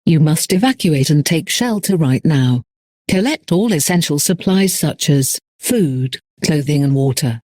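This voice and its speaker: A man reading a scripted emergency broadcast announcement in a formal, measured manner. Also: a little high, animated, darkish tone, no echo.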